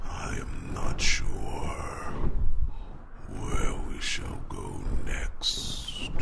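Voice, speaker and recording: Very deep, gravelly, whispering dragon. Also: male voice, very noisy, full character voice. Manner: slow, halting, grave. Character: weary, solemn, hunted male dragon. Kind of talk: ASMR fantasy roleplay.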